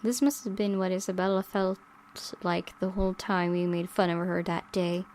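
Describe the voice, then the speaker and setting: A woman hosting a gaming video, reading visual novel narration aloud in a measured, dramatic way. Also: lowish voice, flat delivery, darkish tone, a little hiss or hum. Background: game music.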